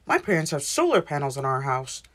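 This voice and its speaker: A woman acts out a proud young boy from a storybook. He is assertive and impatient, his pitch jumping around freely. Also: sing-song, fairly quick.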